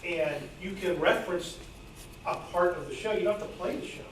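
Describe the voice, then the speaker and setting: Distant, echoey man, higher-pitched. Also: brisk. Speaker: audience member offering suggestions. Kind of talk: informal meeting discussion. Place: club meeting room, far from mic. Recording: very echoey room, distant mic, noisy.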